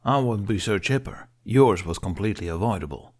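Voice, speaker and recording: A gruff male rabbit warrior, played by a man, grumbles through a fantasy tale. He is sardonic and easily exasperated, delivering his retorts drily. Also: fairly low pitch, expressive, fairly quick. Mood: dry, scolding.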